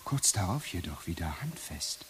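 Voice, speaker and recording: A deep-voiced dazed man pieces his memories together in a halting, often whispered radio monologue. The old recording carries clear tape hiss. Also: very animated. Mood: awed, rising. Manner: halting, repeats prompts, often drops to a whisper. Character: dazed man slowly recalling his regained senses. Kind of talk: radio play acting, monologue. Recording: hissy early 1980s radio play recording.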